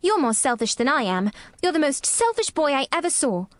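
A woman voicing a stubborn, sour young girl with a sharp, contrary manner in a storybook reading. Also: high voice, sing-song, a little fast, no echo, clean studio sound, voice pushed up. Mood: defiant, sour.

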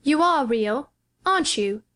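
A woman's voice: sits high, animated, unhurried, fairly bright tone, pitched above the performer's own voice. A woman voicing a frail, imperious young boy with a plaintive, self-pitying manner in a storybook reading. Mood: fretful curiosity.

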